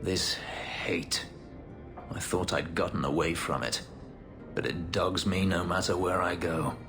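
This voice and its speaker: Deep, grave ex-slave elf warrior; controlled, clipped; reverberant game audio with music. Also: male voice, steady tone, unhurried. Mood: remorseful. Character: brooding elven male ex-slave warrior, bitter. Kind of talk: video game voice acting.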